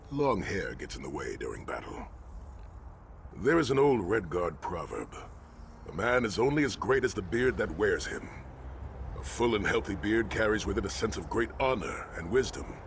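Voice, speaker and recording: A noble warrior sage for a fantasy video game. His voice sits low, with formal, earnest phrasing and a solemn dignity, over faint ambient noise. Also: fairly bright tone, full character voice. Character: solemn, honorable male warrior sage, gently wry.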